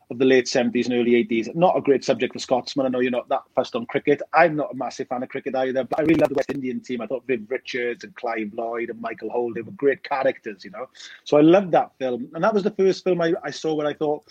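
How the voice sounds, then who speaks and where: Fast-talking husky Welsh man. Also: mid-range voice, darkish tone, dry recording, lo-fi sound. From Wales. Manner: fast, rambling storyteller with lots of fillers and restarts. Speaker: documentary director. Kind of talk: casual podcast interview.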